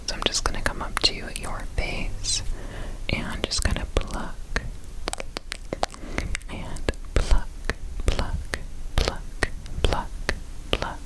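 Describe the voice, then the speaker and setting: A woman whispering softly and soothingly in an ASMR roleplay as an examiner. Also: low-quality recording. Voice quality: soft unvoiced whisper. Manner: soothing, repeats trigger words slowly.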